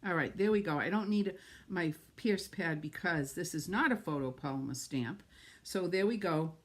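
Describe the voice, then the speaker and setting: A woman's voice: lowish voice, animated, moderate pace. A middle-aged woman with a New England accent, chatting and gushing as she demonstrates card making on a live stream and greets viewers by name.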